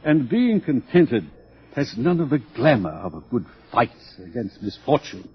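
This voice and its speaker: A dull, lo-fi radio drama recording carries an urbane, powerful older ruler. His husky voice swings widely in pitch. He lectures in a pompous, condescending way, entirely sure of himself. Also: big pitch swings, unhurried, heavily acted. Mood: amused, condescending. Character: urbane, smug, powerful older male world controller. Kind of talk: theatrical radio drama acting.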